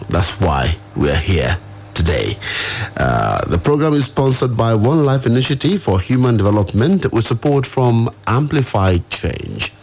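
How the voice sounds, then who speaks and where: A man's voice: low-pitched, gravelly, a little mellow, slight background noise. A Nigerian man hosting a live radio talk show from a studio, speaking conversationally and putting questions straight to his listeners. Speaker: radio host.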